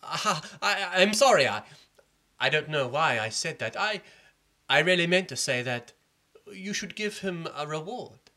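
A man's voice: a little high, lively intonation, unhurried, sharp tone, pitched above the performer's own voice. A man voicing a sarcastic royal minister who sneers and stammers nervously. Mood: flustered.

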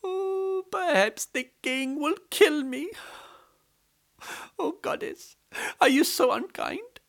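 A storyteller voicing a poor, frightened Brahmin man who sobs and pleads to a goddess in a high, quavering voice. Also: animated, slow pace, creaky, a bit bright, full character voice. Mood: wailing, pleading.

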